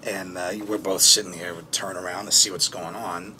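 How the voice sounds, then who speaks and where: A man playing a haunted, bitter man who recounts childhood trauma in a halting, foul-mouthed documentary-interview monologue. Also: sits low, level tone, background noise, close to an everyday voice. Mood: uneasy. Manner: halting, foul-mouthed, with pauses and emphatic bursts. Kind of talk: naturalistic dramatic monologue in interview form.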